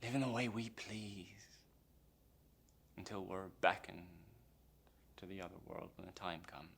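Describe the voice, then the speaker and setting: A man playing an embittered, defiant pirate mutineer in a theatrical monologue, brooding and swinging between hushed menace and impassioned outbursts. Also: sits low, a little slow, gravelly, breathy edge, exaggerated voice. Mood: fervent longing. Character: embittered, defiant English pirate mutineer, a former pressed sailor.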